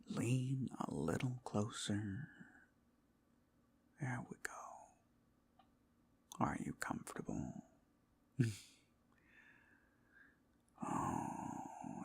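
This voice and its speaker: A man playing an obsessive, lovesick captor in an intimate roleplay ASMR, halting and often whispering, both tender and unsettlingly intense. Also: fairly low pitch, heavily acted. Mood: hushed, lustful. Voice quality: breathy, husky, often whispering. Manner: halting, swinging from hushed tenderness to giddy intensity. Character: obsessive, lovesick male captor, creepy and doting.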